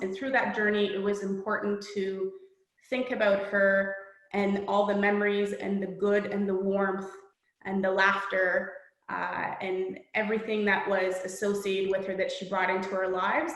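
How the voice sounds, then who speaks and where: A middle-aged woman author from Poland speaks in an earnest, reflective, measured way, her voice fairly flat in pitch. The recording has a noticeably echoey room sound. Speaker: children's book author. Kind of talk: reading aloud, then interview answers.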